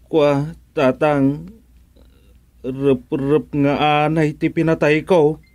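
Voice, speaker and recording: A man playing a hapless, slow-witted son in a comedic radio drama, hesitant, stammering and bewildered. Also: fairly high pitch, flat delivery, a little mellow. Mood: sheepish confession.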